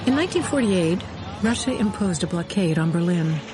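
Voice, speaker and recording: An actress playing a woman intelligence veteran, narrating her memoirs in a measured storytelling manner for a radio drama. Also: lowish voice, lively intonation, lightly acted. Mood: measured, wry. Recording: studio voice over prominent music.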